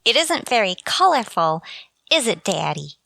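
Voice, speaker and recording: A woman's voice: mid-range voice, sing-song, moderate pace, vocal fry, sharp tone, dry recording, exaggerated voice. A woman playing an earnest, polite teenage girl, a principal's daughter, in a radio comedy. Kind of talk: old-time radio comedy acting.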